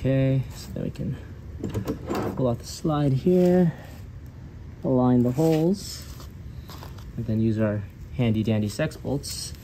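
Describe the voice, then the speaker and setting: Middle-aged male DIY vlogger, mid-pitched, informal how-to delivery, noisy outdoor sound. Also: slow, long pauses. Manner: informal, thinking aloud. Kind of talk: casual how-to narration. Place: outdoors in a residential driveway. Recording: clear background noise with clicks.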